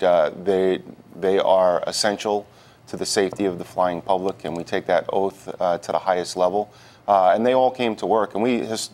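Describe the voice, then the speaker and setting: A man answering questions as a guest in a live TV news interview, speaking haltingly with repeated words. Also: low voice, a little mellow. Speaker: union president, interview guest.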